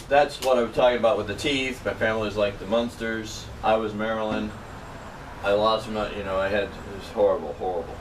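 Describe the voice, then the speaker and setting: A man from upstate New York telling a long, rambling personal story in casual chat. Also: fairly low pitch. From Norwich, New York. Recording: distant mic with steady background noise.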